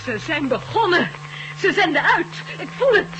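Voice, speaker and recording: Resolute heroine: high, expressive, earnest radio-drama delivery over hum and noise. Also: female voice, normal speed. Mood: tense. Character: determined young woman who resists alien mind control. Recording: humming noisy old radio recording.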